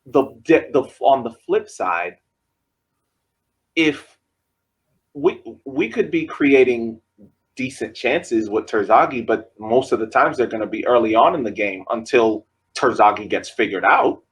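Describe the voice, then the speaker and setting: Husky-voiced man arguing soccer stats. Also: medium pitch, lively intonation, unhurried. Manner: argumentative, talks over others, repeats phrases. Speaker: podcast co-host. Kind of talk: casual sports debate.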